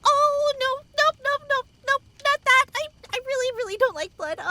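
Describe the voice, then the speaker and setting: A woman's voice: very high voice, slow, pushed and bright, full character voice. A woman playing a bubbly, easily frightened teenage girl counselor, perky and exclamatory, in an audio drama.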